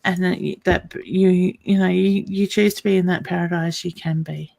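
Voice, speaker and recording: A woman's voice: lowish voice, fairly even intonation, moderate pace, vocal fry, mellow, no echo. A woman psychic medium hosting a casual spiritual chat, talking chattily, laughing often and trailing off mid-sentence.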